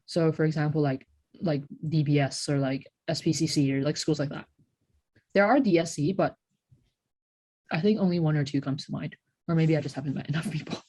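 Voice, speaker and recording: A woman's voice: sits low, steady tone, a little fast, dark tone, slightly rough, no echo. A young woman from Hong Kong co-hosting a podcast, chatting casually and reflectively, often saying "like". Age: young adult. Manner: reflective, peppered with "like". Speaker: podcast co-host. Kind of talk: casual chat.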